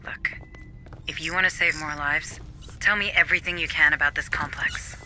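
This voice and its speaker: An earnest, level-headed administrator in a game cutscene. His voice is high for a man and very bright, businesslike and inquisitive, with faint music underneath. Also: fairly even intonation, normal speed. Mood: urgent, businesslike. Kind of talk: cinematic game acting.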